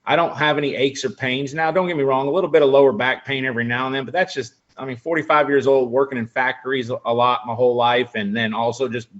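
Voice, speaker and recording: A man's voice: medium pitch, steady tone, quick pace, hardly any pauses, fairly bright tone, highs cut off. A man in his forties, a pro angler guest, chatting casually on a video call, rambling with lots of fillers. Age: 40s. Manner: rambling, friendly, full of fillers. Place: room in a house. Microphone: video call webcam.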